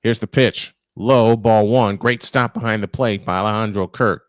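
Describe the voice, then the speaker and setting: A man's voice: fairly low pitch, fairly even intonation, moderate pace, dry recording. Male baseball play-by-play announcer, dry close sound. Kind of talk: live sports commentary.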